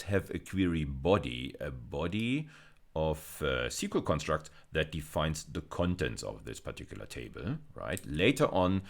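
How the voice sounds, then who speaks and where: A male lecturer with a notably low voice. His intonation moves widely and animatedly as he explains things step by step. He often repeats a phrase to stress it, in the manner of a recorded university lecture.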